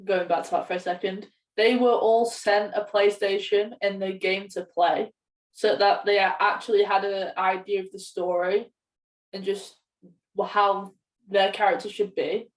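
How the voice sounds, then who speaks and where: A woman's voice: medium pitch, little pitch movement, a little slow. A woman co-hosting a podcast in casual, conversational chat. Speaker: podcast co-host. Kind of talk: casual podcast chat about films and games. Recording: echoey room, distant from mic.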